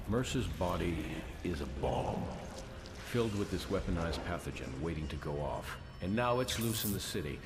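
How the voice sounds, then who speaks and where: A man acting a grave scientist in a video game, giving an ominous, measured, authoritative military briefing. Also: sits low. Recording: loud noisy ambience behind.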